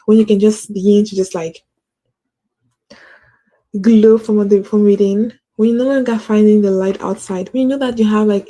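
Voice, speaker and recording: A woman's voice: medium pitch, mellow, rough recording. A woman hosting a casual motivational talk, earnest and encouraging, with a stutter and many fillers like "like". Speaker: host.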